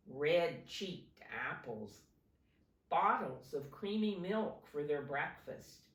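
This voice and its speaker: A woman reading a picture book aloud to young children like a teacher, in simple deliberate phrases, pausing to point things out. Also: deep voice. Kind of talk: animated children's storybook read-aloud. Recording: roomy echo, distant microphone.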